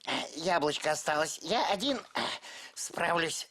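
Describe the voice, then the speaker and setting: A woman's voice: low voice, animated, unhurried, a bit bright. A woman voicing a cheerful, childlike little male creature in a children's cartoon, hesitant, naive and eager. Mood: hesitant, busy.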